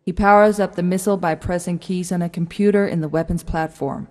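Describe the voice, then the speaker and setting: A woman's voice: on the low side, fairly even intonation, brisk, darkish tone. A woman narrating a script, reading out stage directions as the narrator. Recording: long hall-like reverb.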